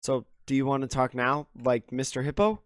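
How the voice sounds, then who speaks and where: A slightly hoarse man streaming a horror game, mumbling commentary to himself with many ums. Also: medium pitch, normal speed, heavy background noise. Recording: voice over loud game music.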